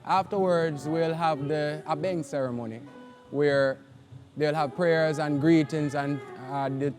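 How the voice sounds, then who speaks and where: Relaxed jamaican guy co-hosting outdoors, slightly high voice, faint music behind. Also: a little slow. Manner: relaxed, laid-back, a little unsure on air. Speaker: co-host. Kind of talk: live event broadcast chat. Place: outdoors at an event.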